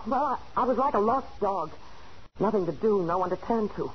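An actress playing a lonely, desperate unfaithful wife in a halting, pleading way, in a 1940s radio thriller. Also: female voice, medium pitch, expressive, natural voice. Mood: guarded, uneasy. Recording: muffled, noisy 1940s radio recording.

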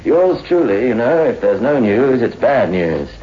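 An actor playing a wry young crime reporter who narrates a 1960s radio suspense drama. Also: male voice, mid-range voice, sing-song, a little slow, breathy edge. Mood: wry, pleading. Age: young adult. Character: young, eager male London crime reporter. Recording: muffled old radio recording.

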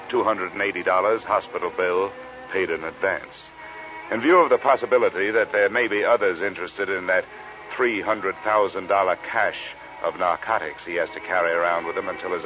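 Male radio detective: actor as wry, clipped investigator-narrator, low voice, muffled vintage recording with prominent music. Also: natural voice. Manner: wry, clipped, dry-humored. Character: wry, hard-boiled male insurance investigator narrating his case. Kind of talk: 1940s radio acting, hard-boiled first-person narration.

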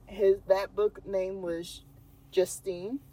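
A woman's voice: medium pitch, level tone, slow, mellow, poor audio. A young woman with a Texan accent, casually telling a true crime story as a podcast co-host, laughing often. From Texas. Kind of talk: casual chat.

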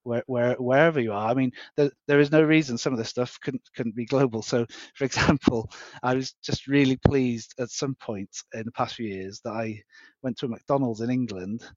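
A man speaking as a guest in an interview, earnest and halting, often restarting his sentences. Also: medium pitch, steady tone, dry recording. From Wales. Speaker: guest on a technology podcast. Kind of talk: conversational interview answers. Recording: dull, dry recording.

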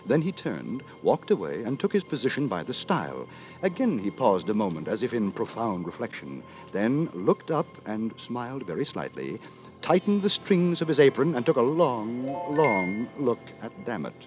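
A man playing a pompous, wry gentleman narrator in a 1950s radio drama, telling his tale with ornate, mock-solemn deliberation. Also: medium pitch, big pitch swings, normal speed, natural voice, rough recording. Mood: hushed, measured. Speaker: narrator and lead actor. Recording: dry 1950s radio with music.

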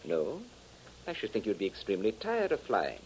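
Smooth foreign villain, low voice, swings up and down, old radio serial, formal and bossy. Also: male voice, huge pitch swings, moderate pace, darkish tone, exaggerated voice, poor audio. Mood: coolly courteous. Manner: formal, courteous, commanding. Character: smooth, menacing foreign villain, a male pilot and rancher. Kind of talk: 1940s radio acting. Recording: old 1940s radio transcription.

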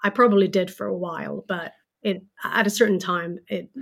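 A woman's voice: mid-range voice, fairly even intonation, fairly quick, creaky, fairly bright tone. A woman from Sweden, a native Swedish speaker, explaining ideas as a guest author in a casual podcast chat with frequent fillers. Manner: thoughtful, explains with hesitant fillers.

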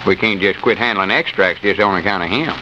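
Through a noisy, muffled old radio recording comes a worried old backwoods man. He speaks in a low, folksy Southern drawl, grumbling and exclaiming but good-natured. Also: brisk, pushed and bright. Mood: good-natured, exasperated. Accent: rural Southern US. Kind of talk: 1930s radio comedy serial acting.

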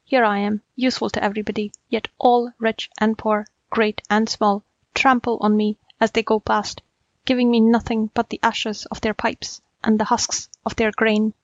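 A woman's voice: on the high side, little pitch movement, normal speed, dry recording, dull audio, natural voice. A woman voicing a trampled, aggrieved road in a storybook, lofty and complaining.

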